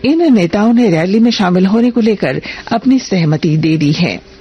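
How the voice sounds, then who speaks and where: A woman reading a scripted radio news bulletin in a formal, measured manner. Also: medium pitch, lively intonation, husky, muffled recording.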